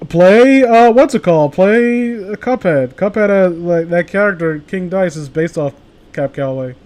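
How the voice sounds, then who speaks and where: A man with a very high voice hosts a casual group chat on a stream. He rambles excitably and circles around his thoughts as he goes. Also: moderate pace. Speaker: host of a live group stream.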